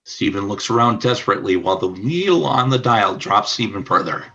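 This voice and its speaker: A man narrating a scripted radio play as storyteller, with a husky voice. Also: fairly low pitch, lively intonation, a little fast, pushed and bright, highs cut off.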